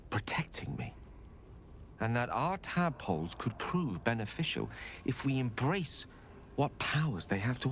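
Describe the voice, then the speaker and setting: Musing, very animated scholarly wizard. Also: male voice, medium pitch, slight background noise. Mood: dazed wonder. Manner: bookish, musing, articulate. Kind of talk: video game voice acting.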